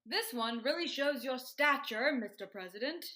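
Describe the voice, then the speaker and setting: Woman playing a bright, polite male adviser. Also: sits high, animated, moderate pace. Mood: tactful. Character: polite, earnest male presidential committee adviser. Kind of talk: old-time radio sketch comedy parody.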